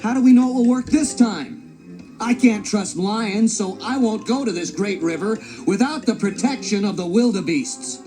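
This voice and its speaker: An actor playing a stubborn, distrustful zebra in a children's read-along story, with a nervy, protesting manner. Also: male voice, high voice, moderate pace, warm tone, soft background music, lightly acted. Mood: distrustful, objecting.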